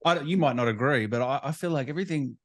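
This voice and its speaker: A man's voice: medium pitch, racing, a bit bright. A man hosting an interview in a casual, enthusiastic, fan-like way. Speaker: interview host. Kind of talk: casual chat.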